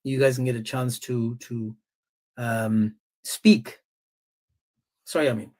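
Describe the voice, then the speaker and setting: A man hosting a live-stream debate, insistent and quick to interrupt. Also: mid-range voice, steady tone, a little mellow. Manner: insistent, interrupting, repeats himself. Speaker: stream host.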